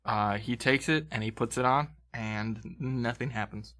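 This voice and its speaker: A man's voice: medium pitch, measured pace, a bit bright. A man acting as game master, improvising tabletop game narration and searching for words as he goes. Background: background fantasy game music.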